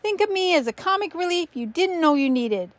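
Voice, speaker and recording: A woman voicing a fast, wisecracking comic AI sidekick who is always ready with a punchline. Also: extremely high pitch, sing-song, no room sound, voice pushed up. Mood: playful. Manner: quick punchlines, playful.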